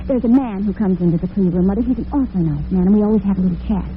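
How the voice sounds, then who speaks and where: A woman's voice: medium pitch, rapid-fire, lightly acted. A young actress playing an earnest, devoted working-girl daughter with money worries in old-time radio drama. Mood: determined. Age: young adult. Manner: earnest, affectionate. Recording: narrow-band vintage radio with slight noise.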